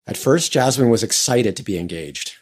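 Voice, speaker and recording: A man co-hosting a finance show, talking in a measured, thoughtful way and narrating the segment. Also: medium pitch, expressive, a little mellow, breathy edge, dry recording, clean studio sound. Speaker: podcast co-host.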